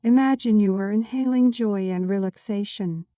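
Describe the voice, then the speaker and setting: A woman reading a scripted guided meditation as a guide, giving soothing, measured instructions. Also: medium pitch, dark tone, dry recording.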